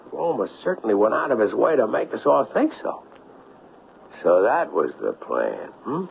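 Hard-nosed police sergeant, radio play; urgent and blunt, muffled noisy broadcast sound. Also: male voice, medium pitch, wildly swooping pitch, a little slow, gravelly, full character voice. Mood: puzzled, tense. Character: hard-nosed, impulsive, harried male police detective sergeant. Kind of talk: radio drama acting.

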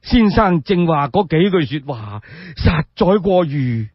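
A man voicing a humble, excitable old blind storyteller in a Cantonese radio tale, effusive and deferential. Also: on the high side, unhurried, slightly rough, no echo, dull audio, full character voice. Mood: humbly flattered.

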